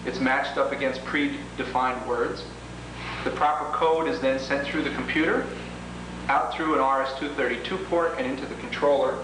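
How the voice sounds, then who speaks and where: A man's voice: medium pitch, steady tone, moderate pace. A man presenting an instructional product demonstration, explaining each step methodically in formal phrasing. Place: indoors, in a room with equipment. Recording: echoey room, clearly noisy old home video.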